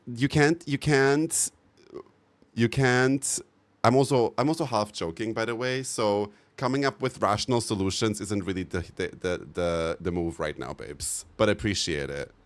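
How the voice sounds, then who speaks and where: Male streamer, lowish pitch, loose animated chat with his viewers, cursing and laughing freely. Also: moderate pace. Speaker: live streamer. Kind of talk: casual chat with live viewers.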